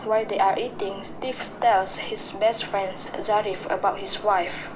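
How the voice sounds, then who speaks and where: A woman's voice: mid-range voice, steady tone, a little slow, over music. A woman narrating a radio drama from a script. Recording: noisy amateur recording.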